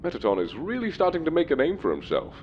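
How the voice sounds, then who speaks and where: Animated, genial monster king played by a man, muffled recording. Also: quick pace. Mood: cheerful, encouraging. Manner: courteous, formal, genial. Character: friendly, encouraging giant male monster king. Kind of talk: comic dub voice acting. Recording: dull muffled audio, loud rumbling noise.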